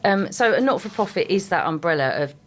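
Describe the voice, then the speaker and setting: A middle-aged woman, a guest on a radio show, speaking with a voice deeper than usual because she is unwell, chatty and enthusiastic. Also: lively intonation, fast, a little hiss or hum. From England. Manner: chatty, enthusiastic about her work. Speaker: guest, sales and marketing manager. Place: radio studio.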